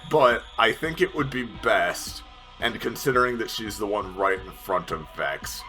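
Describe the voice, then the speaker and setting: Animated, bright low-voiced man. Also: measured pace, poor audio. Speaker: tabletop game player. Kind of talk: casual game table chat. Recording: music bed behind the voice.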